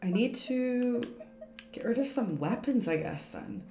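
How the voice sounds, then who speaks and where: A woman's voice: on the high side, expressive, measured pace, dark tone, a little hiss or hum. A woman streaming a game with casual, chatty commentary, thinking aloud as she plays. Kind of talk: casual live gameplay commentary. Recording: roomy echo, game music behind. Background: game music.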